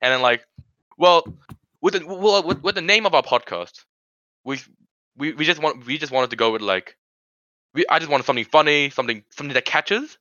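Teen guy, bright edgy tone, dry close sound, dull lo-fi audio, rambling casual talk. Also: a little high, a little fast, long pauses, no room sound. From Korean family, grew up in Sri Lanka. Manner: rambling, many restarts and "like" fillers. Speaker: podcast host. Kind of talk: casual chat. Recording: dull lo-fi recording.

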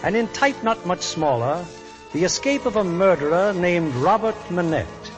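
A man's voice: sits high, lively intonation, normal speed. A man hosting and narrating a scripted 1940s radio broadcast in a polished, theatrical manner. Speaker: host and narrator. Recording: vintage radio, music behind.

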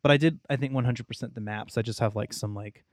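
A man's voice: medium pitch, fast, no echo, clean studio sound. A young man co-hosting a casual podcast, talking in a chatty, rambling way. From Texas, United States. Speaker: podcast co-host. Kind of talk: casual video game discussion.

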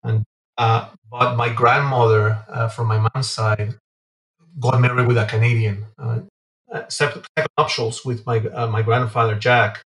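A man answering interview questions in a halting way, often pausing mid-phrase. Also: medium pitch, level tone, a bit bright. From Guatemala and Venezuela. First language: Spanish. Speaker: interview guest, entrepreneur. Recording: roomy echo, distant from mic.

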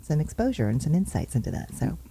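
A woman hosting a talk show, briskly and cheerfully running through market numbers before interviewing a guest. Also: low-pitched, huge pitch swings, gravelly, mellow, breathy edge. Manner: brisk, upbeat, reels off numbers. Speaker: show host and real estate agent. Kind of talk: chatty show monologue on market figures.

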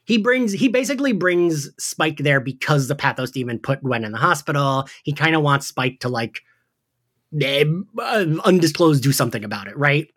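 A man's voice: on the high side, expressive, normal speed, a bit bright, slightly rough. A man hosting a podcast in a casual, gossipy, fannish chat style, often saying "like". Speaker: podcast host. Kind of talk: casual chat recapping an audio drama.

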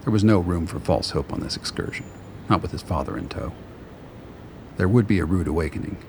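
Low, creaky-voiced male narrator. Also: brisk, noisy background. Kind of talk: scripted radio play narration.

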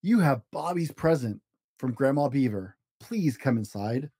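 A welcoming father beaver from a picture book, played by a man reading aloud. He sounds grateful and relaxed, with a mellow tone and animated intonation. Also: mid-range voice, measured pace, slightly rough, no room sound.

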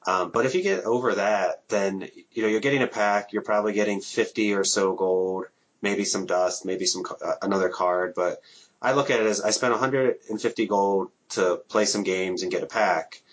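A man hosting a podcast from home, talking in a relaxed, hesitant way with many ums. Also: on the low side, moderate pace, lo-fi sound. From Minnesota. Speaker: podcast host. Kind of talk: casual interview.

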